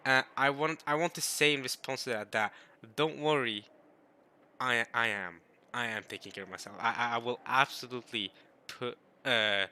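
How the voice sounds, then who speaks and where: Young male video creator, bright and forward voice, rambling conversational delivery. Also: mid-range voice, moderate pace, smooth. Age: young adult. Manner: rambling, babbling. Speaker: video creator reading a visual novel. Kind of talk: casual talk to viewers.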